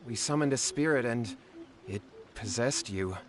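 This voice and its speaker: A man's voice: mid-range voice, measured pace, crowd noise, close to an everyday voice. A man playing an anxious, earnest young villager in an anime game, polite and fretful. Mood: worried.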